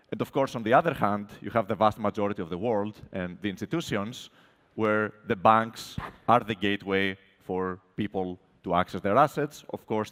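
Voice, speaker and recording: Man from Greece, panelist, very low voice, long reverb, explanatory, keeps asking "right?". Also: fairly bright tone. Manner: explanatory, often ends points with "right?". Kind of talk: panel discussion.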